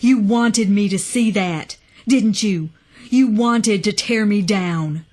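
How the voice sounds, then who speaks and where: A woman's voice: medium pitch, expressive, unhurried. A woman voicing a frantic, cornered man in an audiobook, accusing and breathless, with a ragged edge. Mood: bitter furious. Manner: breathless, accusing, building to shouts. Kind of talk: audiobook character acting.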